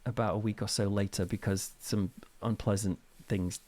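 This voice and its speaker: A middle-aged British man chatting casually as an interview guest, telling anecdotes in an informal, animated way. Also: on the low side, normal speed. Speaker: interview guest, author and reverend.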